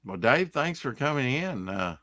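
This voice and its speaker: A man's voice: mid-range voice, big pitch swings, moderate pace, a crowd in the background. A man hosting an online stream, chatting with guests and agreeing often with short yeahs. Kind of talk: casual interview chat.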